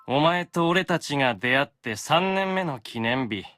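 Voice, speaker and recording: A man's voice: fairly high pitch, animated, fairly quick, pushed and bright. A male actor playing an earnest, affectionate young man who speaks in a straightforward way, as a game character. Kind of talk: game character message voice acting.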